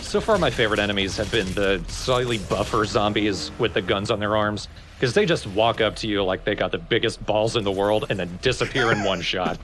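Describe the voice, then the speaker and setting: A man with a fairly low voice chats casually as a co-commentator on a video game. He jokes in a dry way, with slight noise in the background. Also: a little fast, fairly bright tone. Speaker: let's play co-commentator. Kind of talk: casual chat over gameplay.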